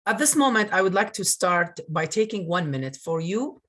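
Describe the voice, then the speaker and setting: A man from northern Iraq giving a webinar over a video call, earnest and grateful, often pausing with fillers. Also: sits high, lively intonation, bright, forceful tone. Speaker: webinar presenter, career coach. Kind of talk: presentation.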